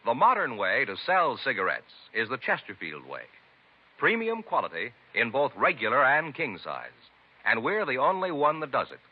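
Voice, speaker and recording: A man delivering an emphatic, salesmanlike advertising pitch as a 1950s radio announcer, with a husky voice. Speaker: commercial announcer. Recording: muffled vintage radio recording.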